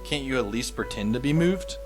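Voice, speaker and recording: Guy playing a fed up high school boy narrator, sarcastic, animated pitch, bit of music behind. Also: a little fast, lightly acted. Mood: exasperated. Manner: dry, sardonic. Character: wry, exasperated teenage schoolboy narrator. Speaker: narrator and lead actor in a fan audio drama. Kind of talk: scripted first-person narration.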